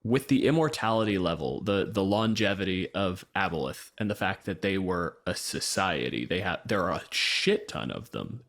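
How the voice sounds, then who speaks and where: Male co-host, slightly low pitch, wry and opinionated, analysing game lore conversationally. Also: expressive, moderate pace, hardly any pauses, fairly bright tone.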